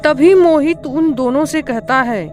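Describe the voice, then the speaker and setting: Female narrator for horror cartoon: high-pitched voice, scripted storytelling, light music bed. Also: a little slow, a little mellow.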